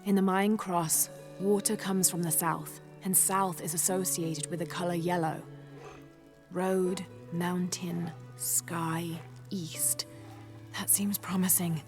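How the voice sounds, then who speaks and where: A woman's voice: fairly low pitch, steady tone, slow pace. A young woman playing an earnest, determined adventurer in a naturalistic video game drama. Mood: focused, calm. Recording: loud music behind the voice.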